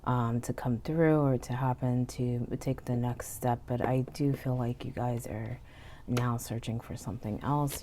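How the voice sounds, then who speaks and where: Very low-voiced hoarse woman reading tarot. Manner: relaxed, chatty, frequent "okay?" and "you know". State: stuffy and hoarse from illness. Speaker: tarot reader. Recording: faint background noise.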